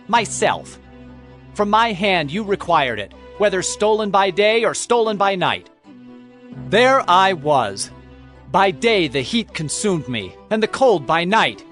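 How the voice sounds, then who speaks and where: A man's voice: high voice, fairly bright tone, exaggerated voice. An actor playing an aggrieved, defiant herdsman in a dramatized audio Bible, declaiming with passion. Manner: impassioned, declamatory. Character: Jacob, aggrieved hardworking herdsman, impassioned and defiant.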